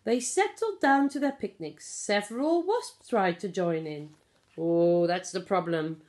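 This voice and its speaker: A middle-aged woman reading a picture book aloud to young children, explaining and asking simple questions in an expressive way. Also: medium pitch, a little slow. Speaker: pre-school storyteller. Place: small living room at home. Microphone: phone or tablet at about arm's length.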